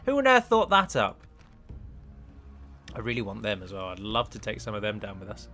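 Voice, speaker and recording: A man's voice: fairly low pitch, wildly swooping pitch, normal speed, sharp tone, faint music behind. A man giving chatty, self-deprecating commentary as he plays and records a let's play on a close microphone. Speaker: gaming video host and mod developer.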